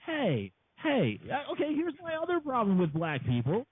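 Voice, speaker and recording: A man's voice: extremely high pitch, huge pitch swings, normal speed, breathy edge, no echo. A male host doing crude comedy chat-show banter, brash and mocking, sometimes slipping into put-on voices. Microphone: call-in phone line. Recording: phone-line call-in audio.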